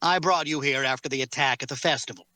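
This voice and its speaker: Man playing a bright-voiced, composed, menacing captor. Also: medium pitch, no room sound, natural voice. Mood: calm, controlled. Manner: measured, formal, precise phrasing. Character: composed, faintly menacing male captor tending his prisoner. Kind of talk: audio drama table read.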